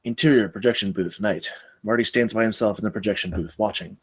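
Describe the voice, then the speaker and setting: A man's voice: lowish voice, quick pace, husky. A man reading stage directions as the narrator of a screenplay table read.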